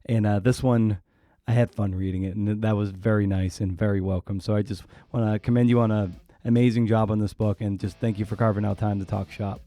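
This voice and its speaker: A man with a fairly low, very mellow voice, recorded close and dry. He hosts a casual conversation, feeling his way through questions in a halting manner. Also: fairly even intonation, dry recording. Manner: halting, searching for words. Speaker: podcast host.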